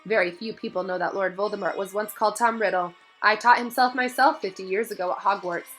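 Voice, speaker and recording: A woman voicing a kindly old male wizard headmaster in a storybook, speaking softly, thoughtfully and with measure. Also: medium pitch, brisk, lightly acted, poor audio. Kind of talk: audiobook-style character reading. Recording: music behind the voice.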